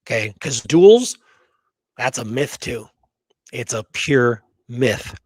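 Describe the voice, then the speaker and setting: A man's voice: mid-range voice, animated, slow pace, no echo. A man hosting a casual history discussion, opinionated and emphatic, repeating words for stress. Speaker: host of a history talk show.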